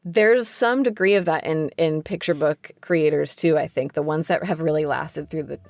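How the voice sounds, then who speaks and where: A woman's voice: low-pitched, moderate pace, darkish tone, dry recording. A woman speaking as an interview guest, explaining things casually in very informal, conversational language. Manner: informal, peppering speech with "like". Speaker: interview guest, book editor.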